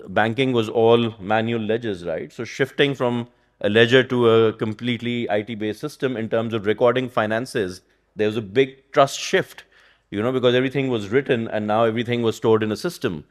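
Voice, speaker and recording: A man's voice: mid-range voice, fairly even intonation, a little fast. A man from Pakistan, an economist speaking on a conference panel in a thoughtful, rambling way, often asking "right?". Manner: thoughtful, rambling, frequent "you know" and "right?". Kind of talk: panel discussion. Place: conference stage.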